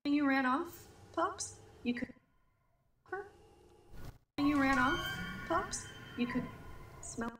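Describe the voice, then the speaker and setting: A woman acting in an audio drama as an edgy woman out searching with her dog, chatty and halting, in a naturalistic style. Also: sits high, a bit bright, heavily acted. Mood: wistful, hurt. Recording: noisy, roomy dialogue recording.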